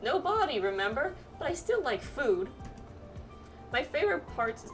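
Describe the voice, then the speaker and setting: An eager companion spirit from a game voiced by a woman, bubbly and teasing about food. The voice rides higher than middle, over faint music. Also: very animated, normal speed, recorded from a distance. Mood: playful, dreamy. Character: excitable bodiless companion spirit who loves food.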